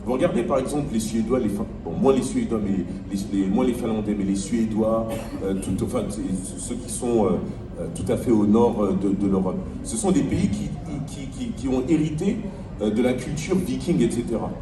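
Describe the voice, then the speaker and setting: A fairly low-voiced man makes his case at a panel discussion, earnest and argumentative, often circling back on his own words. He is picked up from a distance in a roomy space, with steady ambient noise underneath. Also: normal speed. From France. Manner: earnest, argumentative, repeats himself while building a point. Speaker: panel speaker, French elected official.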